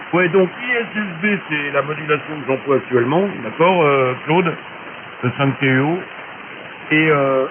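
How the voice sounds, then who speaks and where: A man with a fairly high voice chats as a radio amateur, explaining technical things in loose, colloquial speech. He comes through a thin shortwave channel with clear hiss and static around him. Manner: colloquial, chatty explaining, frequent tag words. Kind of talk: casual radio chat with technical advice. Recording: narrow hissy noisy shortwave radio transmission.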